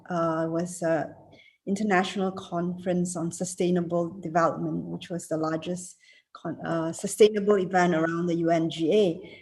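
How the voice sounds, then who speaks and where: A woman in her fifties from Singapore, giving a reunion speech over a video call and answering questions, halting with many fillers and restarts. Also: on the low side, darkish tone. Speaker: keynote speaker, alumna.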